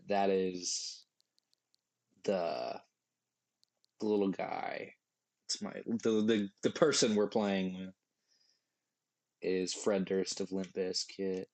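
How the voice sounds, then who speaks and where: A man with a hoarse, worn-out voice, rambling goofily through a casual live stream while he plays a game. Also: lowish voice, a little mellow. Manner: rambling, goofy, jokey asides. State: hoarse, losing his voice.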